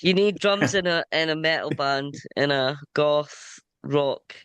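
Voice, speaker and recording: A man hosting a radio interview with a head cold, chatty, with halting restarts. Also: fairly high pitch, fairly bright tone, clean tone, dry recording. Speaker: radio show host. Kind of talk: casual interview.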